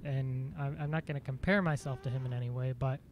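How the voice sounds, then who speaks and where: A man streaming a game, chatting casually in a dry, monotone voice, rambling with fillers and self-corrections. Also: medium pitch, quick pace, a little hiss or hum. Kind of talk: casual live-stream chat.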